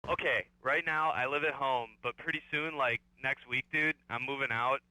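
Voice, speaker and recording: A defensive, earnest slacker near forty in a comic game radio bit. The voice is very bright and forceful, close and dry, with halting, casual phrasing. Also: male voice, mid-range voice, steady tone, smooth, no echo, poor audio. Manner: halting, casual dude-speak.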